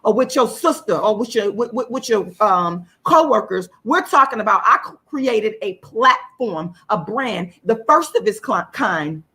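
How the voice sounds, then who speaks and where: A woman with a hoarse voice speaking emphatically as an activist guest, repeating phrases for stress. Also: mid-range voice, expressive, measured pace, few pauses, pushed and bright. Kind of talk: impassioned monologue in an interview.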